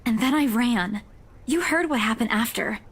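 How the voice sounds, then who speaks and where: High-voiced, anxious young woman. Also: normal speed, husky. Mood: shaken, insistent. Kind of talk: visual novel game voice acting.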